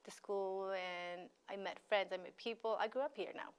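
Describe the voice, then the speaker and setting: Clear-voiced young woman being interviewed. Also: medium pitch, fairly even intonation, normal speed. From Ecuador, moved to the US at twelve. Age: 20s. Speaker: interview guest, committee member. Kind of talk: conversational interview answers.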